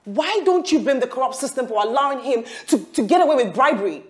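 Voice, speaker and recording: Sharp-tongued, defiant scheming wife. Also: female voice, high-pitched, animated, fast. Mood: angry. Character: defiant, calculating wife and mother scheming for money. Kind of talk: screen drama dialogue.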